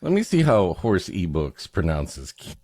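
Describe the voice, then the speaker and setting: A deep-voiced man reads an old story for a comedy podcast. His delivery swings widely and slips into playful banter, recorded dry and close. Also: wildly swooping pitch, normal speed, dark tone, husky, dry recording. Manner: joking, playful. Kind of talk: story reading with comic banter.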